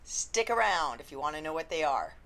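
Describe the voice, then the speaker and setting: A woman, an indie romance author, chatting unscripted to camera with a slightly husky voice and lots of ums. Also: deep voice, sing-song, brisk, loud music behind the voice. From Canada. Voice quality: slightly husky after illness. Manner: chatty, rambling, frequent ums. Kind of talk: unscripted vlog chat.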